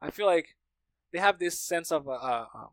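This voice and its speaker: Brazilian-accented man, native Portuguese speaker, slightly high pitch, dry recording, informal storyteller. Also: lively intonation, normal speed, no room sound. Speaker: podcast speaker, language learner. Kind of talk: casual conversation.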